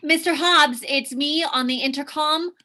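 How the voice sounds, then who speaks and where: A woman's voice: sits high, measured pace, bright, forceful tone. A woman playing a wry, amused office secretary in a comedic script read. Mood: discreet.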